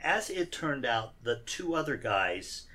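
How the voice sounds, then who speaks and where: A man who grew up in Iran, an actor answering interview questions in a relaxed way with dry humor and frequent fillers. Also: mid-range voice, unhurried. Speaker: actor guest.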